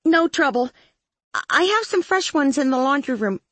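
A woman's voice: sits high, expressive, moderate pace, dry recording, voice pushed up. A woman voicing a friendly, helpful young female housemate with sing-song politeness in an audiobook. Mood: sweetly calling out.

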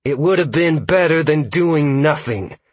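A man voicing a fierce, high-pitched woman adventurer. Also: animated, a little slow, no echo. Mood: angry, accusing. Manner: accusing, forceful. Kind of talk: audiobook character dialogue.

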